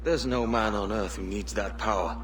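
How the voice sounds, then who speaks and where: A man's voice: on the low side, moderate pace, a little hiss or hum, natural voice. Resolute pirate hero played by a man, slightly distant. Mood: firm, accusing. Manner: grave, firm. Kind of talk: video game acting.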